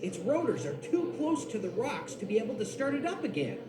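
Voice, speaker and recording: A woman voicing a calm ranger parent in a cartoon, with a steady, reporting manner. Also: on the high side, fairly quick. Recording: roomy, distant, loud noise behind.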